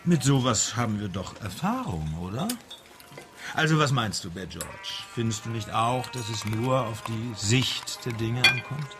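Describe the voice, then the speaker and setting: A man's voice: mid-range voice, lively intonation, a little slow, music in the background, natural voice. A man acting a cocky, persuasive schemer among buddies in a German radio drama. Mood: coaxing.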